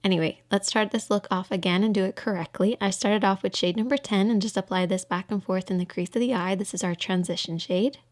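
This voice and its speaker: A woman's voice: medium pitch, fairly even intonation, brisk, few pauses. A woman giving an informal voice-over for a makeup tutorial, explaining things step by step.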